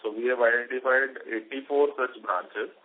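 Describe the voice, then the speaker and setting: Halting businessman on a tinny phone line. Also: medium pitch, steady tone, a little hiss or hum. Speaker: company executive. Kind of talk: earnings call answers, business explanation. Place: conference call.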